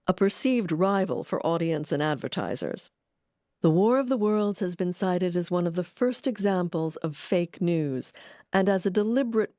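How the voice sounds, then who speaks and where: A woman narrating a radio-history documentary in a measured, expressive scripted read. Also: fairly low pitch, no echo, studio quality. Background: music.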